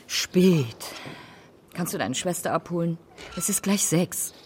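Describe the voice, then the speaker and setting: A woman's voice: lowish voice, animated, slow pace, noisy background. Weary, sardonic mother, swinging intonation. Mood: brisk. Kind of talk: naturalistic radio play acting.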